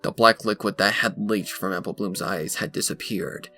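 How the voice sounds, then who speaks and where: A man narrating a dark story from a script in a dramatic style. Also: on the low side, normal speed. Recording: music behind the voice.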